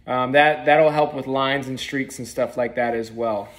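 A young man in his twenties, a pressure washing business owner, giving a relaxed how-to vlog, talking plainly with frequent ums and uhs, filmed on a phone held at arm's length indoors. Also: mid-range voice, a little slow, heavy background noise. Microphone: phone camera at arm's length.

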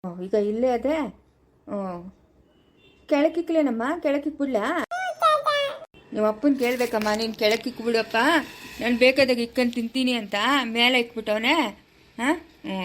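A woman voicing a gentle grown man who coaxes a crying child to eat, speaking slowly. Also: fairly high pitch, voice pushed down, natural voice. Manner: soothing, coaxing, slow.

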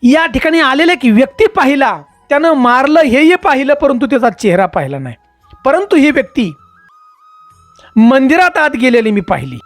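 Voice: sits high, big pitch swings, normal speed, no room sound, faint music behind, voice pushed up. A storyteller voicing a scheming young princess who insists on her false account in a moral tale. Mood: insistent, deceitful.

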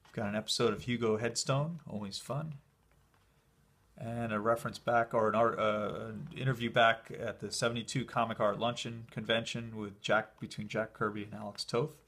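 A man talks off camera in a low, slightly husky voice while leafing through an old program indoors. His commentary is relaxed and enthusiastic, with easy fillers and short pauses. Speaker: collector showing a convention program. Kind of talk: casual flip-through commentary.

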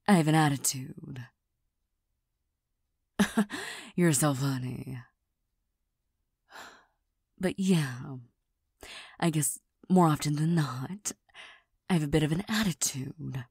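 A prickly young girlfriend who hides her tenderness, as a woman plays her in an audio roleplay. Her voice is low and very husky, and she half-whispers slowly with little stammers and shy laughs. The sound is dry and close, with no room around it. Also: animated, a little mellow, no room sound, heavily acted. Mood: vulnerable, defensive. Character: proud, touchy young girlfriend hiding tenderness. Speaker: voice actor in a listener roleplay.

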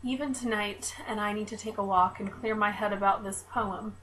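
A woman's voice: medium pitch, fairly even intonation, normal speed, fairly bright tone, soft background music, rough recording. Woman reciting emphatic spoken-word poetry.

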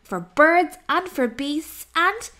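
A woman narrates a rhyming picture book for children. Her voice is high and very animated, and she takes her time, leaning on key words for dramatic effect. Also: takes it slowly. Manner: expressive, dramatic emphasis. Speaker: storybook narrator.

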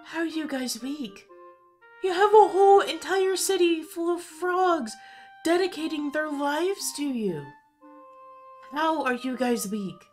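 Woman doing angry defiant fantasy heroine, slow and bossy, clipped words, music under it. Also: high voice, pitched above the performer's own voice. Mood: incredulous. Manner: commanding, emphatic, clipped. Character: angry, defiant woman hero laying down rules. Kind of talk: amateur fantasy audio drama acting.

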